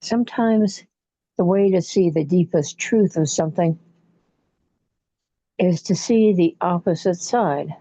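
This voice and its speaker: Female meditation guide, very slow and soothing, mellow tone, slightly muffled dry recording. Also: lowish voice, dry recording. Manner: soothing, unhurried, with long pauses between short phrases. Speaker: guided imagery facilitator. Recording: muffled, dull lo-fi audio.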